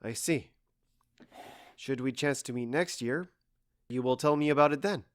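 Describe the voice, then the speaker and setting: A man's voice: mid-range voice, moderate pace, close to an everyday voice. A man playing a stoic, wounded lover in a stage-style audio drama, speaking in a formal, restrained and dryly ironic manner. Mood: cold, hurt. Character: stoic, wounded, sardonic man being left by love.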